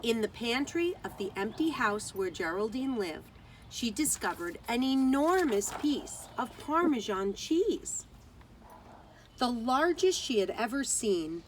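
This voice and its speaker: A woman's voice: on the high side, sing-song, slow pace, slight background noise, low-quality recording. A woman teacher reading a children's story aloud outdoors, expressively, with dramatic emphasis on key words.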